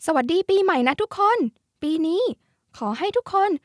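A woman acts out a youthful, caring schoolgirl from a cartoon card game. The voice sits high and is expressive and familiar, the way one talks to close friends. The sound is close and dry, with no room on it. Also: normal speed, no echo. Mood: cheerful. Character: lively, caring schoolgirl who loves a card-flicking game. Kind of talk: character voice pack lines.

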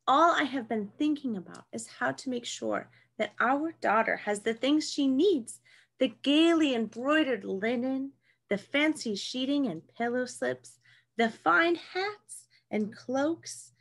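A woman's voice: high-pitched, lively intonation, takes it slowly, vocal fry, full character voice. A young woman acting a fussing mother who plans her daughter's lavish wedding, in a comedy read over video call. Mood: fussy, proud. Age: young adult. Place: small room at home, indoors. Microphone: video call microphone.

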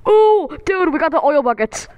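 A woman voicing playful, excitable cartoon stick-figure friends in a fan voice-over. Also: high-pitched, moderate pace, highs cut off, a little hiss or hum.

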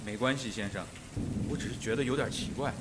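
A man's voice: mid-range voice, fast talker, noisy background, lightly acted. A man playing a deferential, uneasy butler in a Mandarin radio drama, hesitant, with stormy rain behind him.

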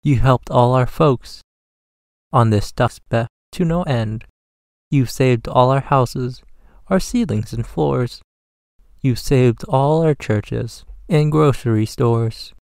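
A tiny storybook mayor, acted by a man, piping and raised in pitch. He is polite and full of thanks, speaking in careful, unhurried rhyme. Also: creaky, darkish tone, no room sound, clean studio sound. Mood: grateful. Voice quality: small piping voice. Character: tiny, grateful, polite mayor of speck-sized folk. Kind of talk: rhyming storybook character reading.

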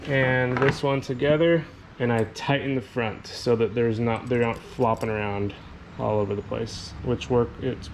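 A man, casually walking through a DIY kayak build and explaining each step as he goes. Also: mid-range voice. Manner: relaxed, explanatory, repeats himself. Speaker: fishing channel host. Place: garage. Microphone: action camera on head or chest. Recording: camera mic with clear background noise.

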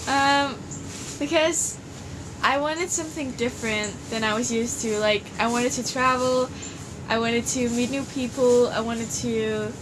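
A woman's voice: on the high side, fairly even intonation, measured pace. A teenage girl from Denmark chatting casually as an exchange student, giggly and full of "like" fillers, filmed outdoors on a porch. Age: teens. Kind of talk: casual chat. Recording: outdoor ambience noise.

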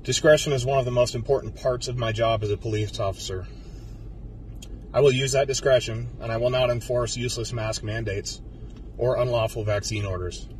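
An American man in his thirties, a police officer, giving a solemn, deliberate prepared speech to camera in a car. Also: mid-range voice, steady tone, a little mellow. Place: inside a car. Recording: slightly distant mic, faint car noise.

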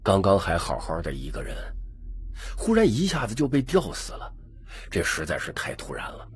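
Very deep, husky male storyteller. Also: wildly swooping pitch, moderate pace. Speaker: audiobook narrator. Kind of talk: scripted dramatic story narration.

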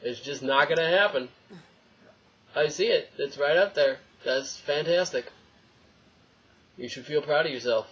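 A man's voice: a little high, a little slow, long pauses, fairly bright tone, breathy edge. A young man giving casual, jokey let's play commentary, rambling to himself and the game as he plays. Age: young adult. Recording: dull lo-fi mic, faint noise.

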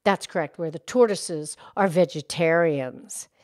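A woman voicing a calm, sensible cow puppet, speaking in a quiet, matter-of-fact way in a children's puppet show. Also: sits low, measured pace.

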